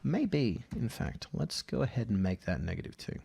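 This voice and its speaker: A man narrating a casual software tutorial as its presenter, thinking aloud in a halting way. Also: mid-range voice, big pitch swings, creaky, husky. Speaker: audio editing tutorial presenter.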